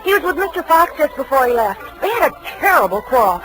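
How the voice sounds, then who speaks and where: An actress playing a flustered, loyal office receptionist in a 1940s radio drama, expressive and breezily exasperated. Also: female voice, high voice, fast talker, music in the background, a crowd in the background. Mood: earnest, defensive. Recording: noisy vintage radio broadcast.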